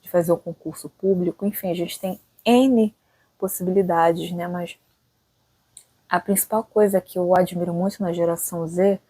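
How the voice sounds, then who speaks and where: A woman's voice: lowish voice, fairly even intonation, moderate pace, dark tone, breathy edge, dry recording. A woman in her late twenties hosting her own channel, chatting casually and thinking aloud, often tagging her sentences with little questions. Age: late 20s. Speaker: channel host. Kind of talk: casual reflective vlog talk.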